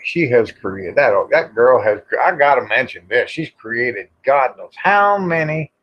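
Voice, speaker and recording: A man's voice: medium pitch, a bit bright, breathy edge. A folksy, plain-spoken American man chatting casually and rambling to viewers on a livestream. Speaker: livestream host. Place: outdoors in the evening. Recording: dull lo-fi livestream audio.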